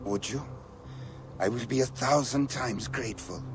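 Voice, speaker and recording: A man's voice: medium pitch, moderate pace, lightly acted. Frightened, mourning villager for a game, male actor: middle register, humble, hesitant, music underneath. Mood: grateful. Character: fearful, grieving old widower, humbly grateful. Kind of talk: dramatic video game acting.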